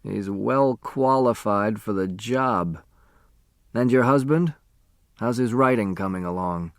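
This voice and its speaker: A man's voice: fairly low pitch, takes it slowly, no room sound. Guy doing a weak old man in his eighties on his deathbed, nostalgic n teasing, storybook style, very dry sound. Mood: nostalgic, curious. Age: 80s. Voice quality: weak, faint. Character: frail, dying 85-year-old man, wistful and teasing.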